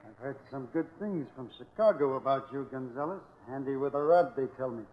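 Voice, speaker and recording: A man's voice: medium pitch, a little fast, lightly acted. A man acting a cold, commanding gangster boss in a 1940s radio crime drama, with a curt, domineering delivery. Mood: cool, measured. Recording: old 1940s radio recording, muffled, hissy.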